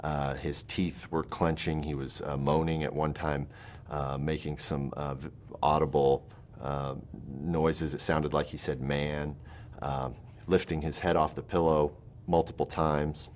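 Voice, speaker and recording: A man giving an eyewitness account, hesitant and halting between phrases. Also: a bass voice, flat delivery, a little hiss or hum.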